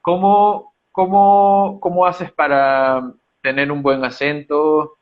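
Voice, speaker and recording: A man's voice: fairly high pitch, little pitch movement, takes it slowly. A man with a Peruvian accent hosting an interview, asking questions in a friendly way and repeating words as he forms them. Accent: Peruvian Spanish. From Peru. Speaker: interviewer, channel host. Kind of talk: conversational interview. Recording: dull lo-fi sound.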